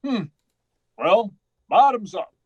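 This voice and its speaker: A brash, cocky chauffeur from an old-time radio play , played by a man. His voice sits a little high and is closely recorded with a dry sound, and he talks in blunt slang. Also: lively intonation, slow pace, breathy edge, dry recording, dull audio, exaggerated voice. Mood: easygoing. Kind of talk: 1940s radio acting.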